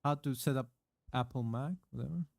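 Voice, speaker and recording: A man streaming from his home setup, reading a product manual aloud haltingly into a close condenser microphone, with many fillers and offhand swearing. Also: mid-range voice, gravelly, darkish tone, no echo. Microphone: condenser microphone with pop filter, close.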